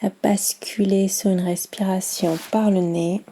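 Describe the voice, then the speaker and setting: Very mellow, slow-speaking woman guiding meditation. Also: mid-range voice, fairly even intonation. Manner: soft, soothing, unhurried instruction with long pauses. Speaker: yoga nidra guide. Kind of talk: guided meditation.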